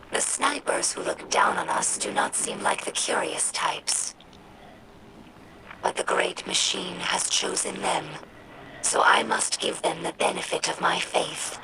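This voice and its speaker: A woman voicing an earnest young alien scribe in a video game log, speaking gently and carefully in a whispery, creaky voice. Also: mid-range voice, low-quality recording. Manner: gentle, careful, storytelling delivery. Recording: voice over game music.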